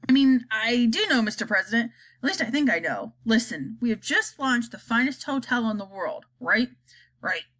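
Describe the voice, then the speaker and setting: A woman voicing a fawning male chief spy who speaks in falsetto in a children's story. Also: a bit bright.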